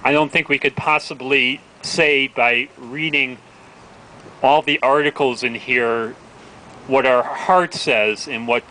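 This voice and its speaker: Bright-voiced man earnestly addressing an outdoor gathering. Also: mid-range voice, rough recording. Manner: earnest, exhortatory. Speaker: event host introducing readers. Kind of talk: informal public address at an outdoor rally. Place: outdoor town plaza. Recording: outdoor event with street traffic noise.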